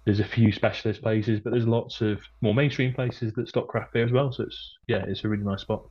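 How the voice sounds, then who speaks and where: Podcast guest, Welshman from the north, deep-ish voice, talks in loose restarts over a muffled recording. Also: fairly even intonation, a little fast, husky. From North Wales. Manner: rambling, frequent restarts and fillers. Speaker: brewery guest. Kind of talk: casual podcast chat. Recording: dull lo-fi sound.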